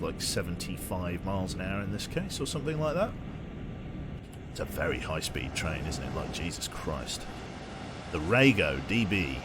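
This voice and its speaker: A British man streaming a train game, chatting casually and getting easily excited, swearing now and then. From United Kingdom. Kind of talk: casual gameplay commentary. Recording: loud train rumble behind voice. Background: train simulator engine and rail noise.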